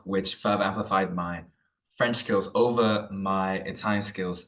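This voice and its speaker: A teenage boy giving a student research presentation over a video call, hesitant with many pauses and fillers. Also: on the low side, a bit bright, recorded from a distance. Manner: hesitant, many ums and uhs. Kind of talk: presentation talk.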